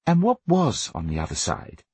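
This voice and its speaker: A man's voice: low voice, huge pitch swings, moderate pace, a little mellow, husky, dry recording, full character voice. Man voicing a weary, murmuring storybook mother. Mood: curious. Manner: murmuring, exasperated. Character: long-suffering, wryly weary mother of a troublesome boy.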